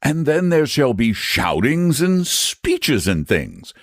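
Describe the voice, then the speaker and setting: A man's voice: mid-range voice, huge pitch swings, takes it slowly, no room sound, studio quality, voice pushed up, exaggerated voice. A man voicing a patient, earnest young knight who talks persuasively.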